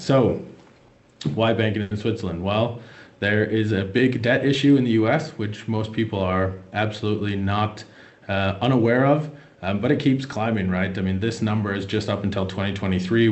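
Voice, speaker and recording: American man, young adult, low voice, hesitant webinar presenter, echoey room. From United States. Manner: hesitant, many fillers and restarts. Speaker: wealth manager presenting a webinar.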